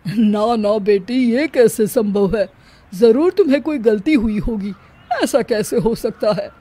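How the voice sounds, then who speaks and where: A woman's voice: a little high, measured pace, dark tone. A woman voicing a mild, elderly widowed father-in-law in a cartoon story, speaking in a fatherly way. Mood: mildly doubtful. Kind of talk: Hindi cartoon story character acting.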